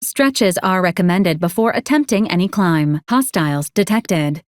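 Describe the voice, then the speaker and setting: A woman playing a polite female robot assistant in a video game, speaking in formal, procedural phrases with a deadpan, literal manner. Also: lowish voice, normal speed, no room sound, clean studio sound, close to an everyday voice. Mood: dryly helpful. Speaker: robot companion giving mission advisories. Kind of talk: scripted video game character lines.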